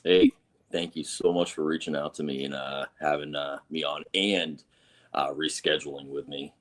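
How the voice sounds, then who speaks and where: Vermont man, very low voice, easygoing talker, still recovering his voice. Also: normal speed. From northern Vermont. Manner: relaxed, many fillers. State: recovering from lost voice. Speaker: podcast guest, author. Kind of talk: casual interview.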